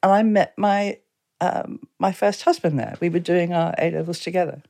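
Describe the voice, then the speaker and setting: A woman in her seventies from Exeter with an English accent, reminiscing casually and anecdotally as a podcast guest, quick to chuckle. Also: fairly low pitch, gravelly, warm tone. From Exeter, England. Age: 70s. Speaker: town mayor, podcast guest.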